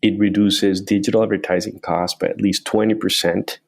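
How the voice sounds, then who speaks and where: Low-voiced man. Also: flat delivery, moderate pace, creaky. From Colombia. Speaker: guest, company founder. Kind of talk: interview answers.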